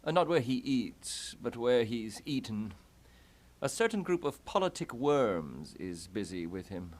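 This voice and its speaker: A man's voice: medium pitch, very animated, unhurried. A man acting a mocking, impulsive, grief-maddened young prince in a theatrical Shakespearean puppet show. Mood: sardonic. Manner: dramatic, declaiming, sardonic.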